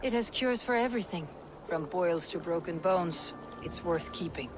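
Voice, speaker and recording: An actress playing a battle-hardened Viking warrior woman in a video game, speaking in terse, measured, poetic phrases. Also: fairly low pitch, lively intonation, noisy background, natural voice. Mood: earnest, persuading. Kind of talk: dramatic video game dialogue.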